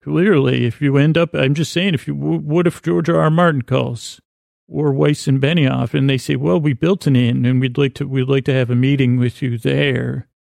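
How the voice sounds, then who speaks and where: A man with a creaky voice hosting a bedtime podcast in a meandering, improvised monologue, halting and often trailing off mid-sentence. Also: medium pitch, fairly even intonation, darkish tone, dry recording, clean studio sound. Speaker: podcast host.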